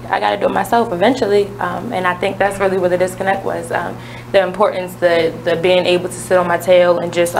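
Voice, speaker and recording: A woman's voice: on the low side, vocal fry. Woman, twenties; earnest, confident guest; noisy radio recording. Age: 20s. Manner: earnest, self-assured, many "um"s. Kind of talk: casual radio talk.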